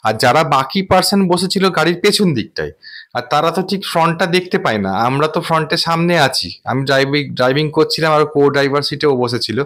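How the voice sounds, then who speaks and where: A man's voice: a little high, lively intonation, fast, fairly bright tone. A man hosting a vlog, recounting a personal experience in an earnest, wordy, explanatory way. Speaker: vlogger and host.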